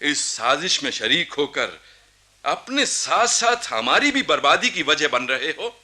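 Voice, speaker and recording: A proud young Nawab in a historical radio play. His voice is high, forceful and very bright, swinging widely as he accuses and commands in formal courtly diction. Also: big pitch swings, a little slow, heavily acted. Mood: menacing warning. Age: young adult. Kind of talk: theatrical Hindustani radio drama acting.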